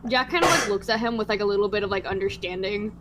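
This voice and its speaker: A woman's voice: mid-range voice, animated, fast. A woman playing a tabletop game over voice chat, casually describing her character's actions. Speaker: player. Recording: voice chat with background noise.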